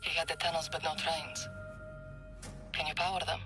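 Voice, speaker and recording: An actress playing a calm, resolute resistance fighter commander in video game dialogue. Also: female voice, deep voice, moderate pace, music in the background, natural voice.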